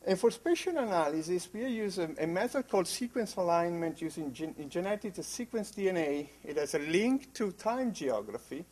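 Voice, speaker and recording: A man with an Italian accent giving an academic conference presentation, halting often with fillers and restarts. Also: high-pitched, big pitch swings, a little mellow. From Italy.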